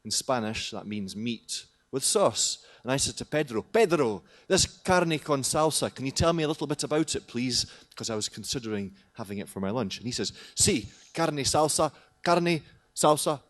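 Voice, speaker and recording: A man's voice: medium pitch, expressive, moderate pace, hardly any pauses. Chatty male conference presenter. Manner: chatty, jokey, asks the audience questions. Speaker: conference presenter, teacher trainer. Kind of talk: lively conference talk with audience questions. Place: conference hall.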